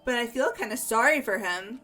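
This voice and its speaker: A woman's voice: a little high, expressive, a little fast, bright, forceful tone, faint music behind. A woman voicing a kind-hearted, friendly teenage girl in a casual, sincere manner, as amateur game voice acting. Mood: sympathetic.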